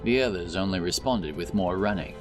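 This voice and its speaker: A man's voice: sits low, brisk. A man reading scripted fantasy narration as an audiobook narrator, with background music behind him. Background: background music and effects.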